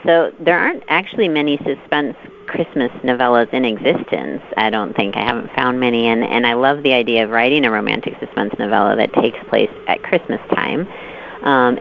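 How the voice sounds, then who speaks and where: A woman author answering interview questions in a measured, earnest, articulate way. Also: a bass voice. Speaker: novelist guest. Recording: phone-like line with clear noise.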